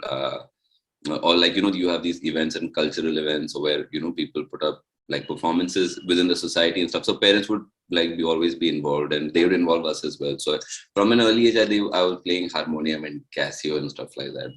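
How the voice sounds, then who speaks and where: A man's voice: low voice, a bit bright, low-quality recording. A young man, a musician guest telling his story in loose, rambling casual chat.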